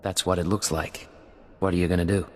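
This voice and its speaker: A man voicing a cool, low-voiced spy. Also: fairly quick, a little hiss or hum, close to an everyday voice. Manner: calm, clipped, dry. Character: cool, dry male imperial spy. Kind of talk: video game cut-scene acting. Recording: long reverb.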